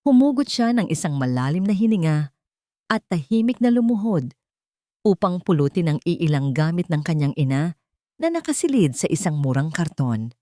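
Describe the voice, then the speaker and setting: A woman's voice: sits low, dark tone, no echo, clean studio sound. A woman reading a scripted, dramatic love story as its narrator.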